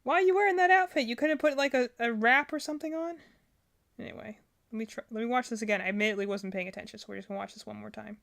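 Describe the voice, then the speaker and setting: A woman's voice: on the high side, rapid-fire, gravelly, a bit bright. A woman giving casual, unscripted reaction commentary as a streamer, rambling and thinking aloud as she goes. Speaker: streamer reacting to game videos.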